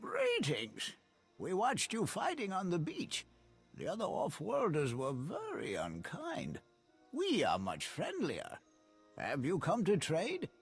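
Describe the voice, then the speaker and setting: A friendly, far too welcoming alien villager, curious about every outsider. His voice sits high and swoops widely, and he takes his time. A touch husky, with faint music underneath. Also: huge pitch swings, takes it slowly, exaggerated voice. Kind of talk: video game voice acting.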